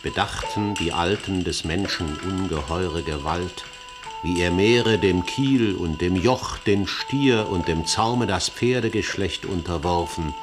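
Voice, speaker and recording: An actor playing the solemn narrator of an ancient tragedy in a radio play, reciting verse in a measured, epic manner. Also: male voice, low-pitched. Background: music.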